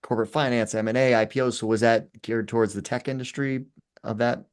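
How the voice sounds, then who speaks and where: A man's voice: medium pitch, fairly even intonation, brisk, smooth. A man hosting a podcast, asking conversational questions. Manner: conversational, curious. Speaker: podcast host. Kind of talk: interview questions.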